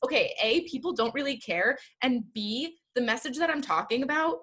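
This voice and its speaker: A woman's voice: high-pitched, animated, moderate pace, a bit bright, husky. A woman guest on a podcast talking about her work as an entrepreneur, in an earnest, chatty way with many "like" fillers. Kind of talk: casual interview chat. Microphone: video call.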